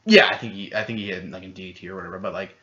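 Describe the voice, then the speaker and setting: A man co-hosting a casual podcast chat, rambling and excitable, swearing freely. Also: deep voice, rapid-fire. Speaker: podcast co-host. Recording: dull lo-fi podcast audio.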